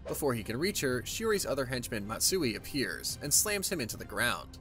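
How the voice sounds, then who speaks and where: Male narrator, lively pitch movement, casual scripted recap, wry conversational delivery, faint music behind. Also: a little fast.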